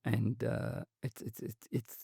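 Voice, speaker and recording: A man hosting a podcast who asks measured, thoughtful interview questions. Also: medium pitch, completely flat delivery, creaky, mellow, slightly rough, no room sound, clean studio sound. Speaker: podcast host.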